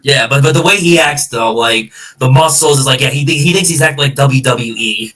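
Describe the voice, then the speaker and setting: A man hosts a casual film chat with a bright, sharp-edged voice at a medium pitch. He rambles and backtracks haltingly, and he sounds a little away from the microphone. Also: recorded from a distance. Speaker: podcast host, film buff.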